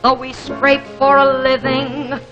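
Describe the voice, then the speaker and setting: A woman singing a slow ballad with long, sustained phrasing. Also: high voice, completely flat delivery, music in the background. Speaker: singer. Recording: dull old archive recording. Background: musical accompaniment.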